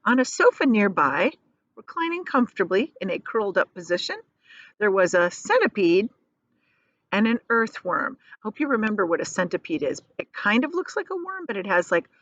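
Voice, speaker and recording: A woman teacher reading a children's storybook aloud expressively, pausing to explain things in simple, casual words. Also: mid-range voice, very animated, a bit bright, no room sound, muffled recording.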